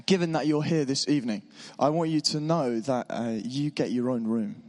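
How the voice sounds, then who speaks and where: Slightly hoarse preacher in a hall. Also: male voice, medium pitch, moderate pace. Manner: warm, encouraging, informal with asides. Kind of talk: church sermon. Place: church hall. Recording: long hall reverb.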